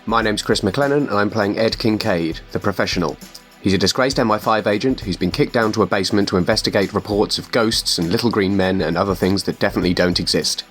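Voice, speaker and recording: Lowish-voiced man over soft music. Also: fast talker. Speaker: tabletop game player. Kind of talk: scripted character introduction.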